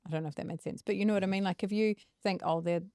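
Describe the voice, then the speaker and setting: A woman with a New Zealand accent, hosting a casual interview, lively and quick to laugh. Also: medium pitch, no room sound, clean studio sound. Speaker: podcast host.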